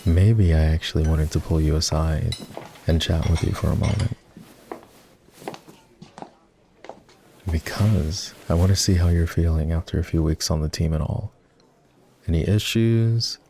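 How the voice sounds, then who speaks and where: A man playing an ex-boyfriend turned boss in an intimate roleplay ASMR, talking in a halting, conversational way. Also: sits low, background noise. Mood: casual, teasing. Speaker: voice actor.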